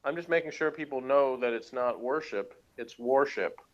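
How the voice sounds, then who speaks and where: A man's voice: medium pitch, normal speed. Guest co-host: man with mid-range voice, dryly teasing, heard over a slightly dull lo-fi call. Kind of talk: casual chat. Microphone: online video call.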